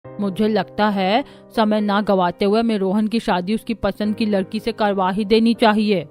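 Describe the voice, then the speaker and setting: A woman's voice: mid-range voice, fairly even intonation, moderate pace, darkish tone, faint music behind, close to an everyday voice. A woman voicing chatty, opinionated women of an Indian household in a cartoon family story. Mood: helpful.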